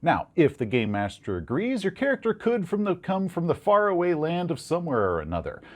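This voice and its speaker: Male presenter, expressive and varied intonation, didactic scripted explainer delivery. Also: on the high side, a little fast. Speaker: presenter of game advice. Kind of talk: scripted instructional narration.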